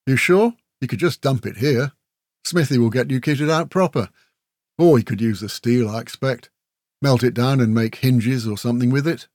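A man's voice: medium pitch, normal speed, darkish tone, dry recording, lightly acted. Cheeky, talkative old-tale warrior, done by a male narrator: folksy, breezy, matey; fantasy audiobook, dry sound. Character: breezy, chatty male kinfolk warrior and teasing storyteller. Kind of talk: fantasy audiobook character dialogue.

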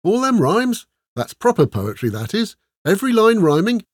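A man voicing a chatty, excitable male thief who rambles eagerly in colloquial speech. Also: mid-range voice, fairly quick, no echo, clean studio sound, pitched above the performer's own voice, close to an everyday voice.

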